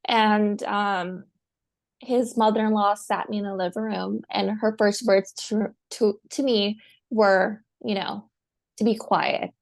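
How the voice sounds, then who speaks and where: A woman from the United States telling her personal story as a guest, speaking softly and haltingly with many pauses. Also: mid-range voice, steady tone, gravelly. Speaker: guest, survivor. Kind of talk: personal testimony.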